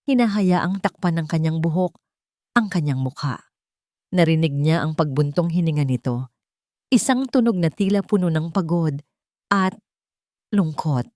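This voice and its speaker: A woman narrating a scripted romantic drama in an earnest, sentimental way with dramatic pauses. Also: low-pitched, darkish tone, dry recording, clean studio sound. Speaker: narrator of romantic audio stories.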